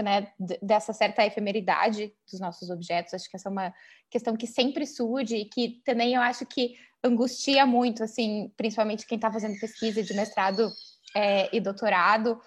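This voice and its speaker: Woman, Brazilian accent, higher-pitched voice, informal academic host, hesitant halting phrasing. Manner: hesitant, thinking aloud with hedged, halting phrasing. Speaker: host and discussant of an academic live talk.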